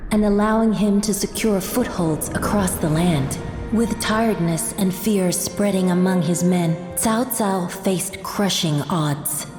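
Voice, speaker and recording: A woman's voice: mid-range voice, darkish tone, close to an everyday voice. A woman playing a solemn storyteller narrating an ancient war chronicle for a video game. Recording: echoey, long reverb.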